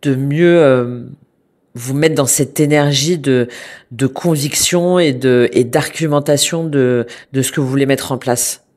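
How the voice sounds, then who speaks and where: A woman with a slightly stuffy, congested voice talks through a tarot reading for her viewers. She hesitates a lot, circling back with fillers and restarts, yet keeps a gentle, reassuring tone. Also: a bass voice, unhurried. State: has a cold, congested. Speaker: tarot reader.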